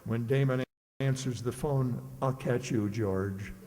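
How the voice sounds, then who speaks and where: A man's voice: medium pitch, slow pace, dark tone, lightly acted. A man playing a teasing, mock-sympathetic friend in an old-time radio sitcom. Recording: echoey hall.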